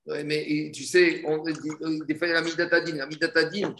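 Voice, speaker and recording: A man's voice: a little high, little pitch movement, normal speed, fairly bright tone, a little hiss or hum. A man giving an explanatory religious text lesson as a teacher, reading and translating in a didactic way, repeating phrases and answering his own questions. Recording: echoey room.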